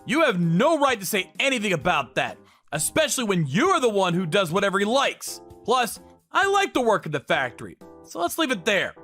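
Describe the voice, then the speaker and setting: A man plays a working-class older brother in a narrated drama, firm and exasperated. The delivery is high and animated, over faint music. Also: normal speed, heavily acted. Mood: defensive.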